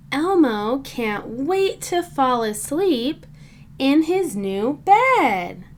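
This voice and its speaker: A woman reading a children's storybook aloud in simple, deliberate phrases meant for young listeners. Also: high-pitched. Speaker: children's book reader.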